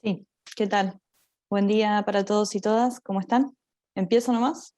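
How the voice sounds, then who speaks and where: Mellow-voiced Argentine woman presenting. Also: medium pitch, steady tone, moderate pace, no echo. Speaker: drama teacher presenting at a conference. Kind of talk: spoken conference presentation.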